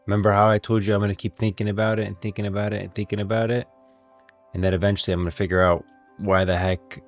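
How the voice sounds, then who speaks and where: A man's voice: low-pitched, vocal fry. A man hosting a casual livestream about game lore, talking informally and directly to his viewers. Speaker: livestream host.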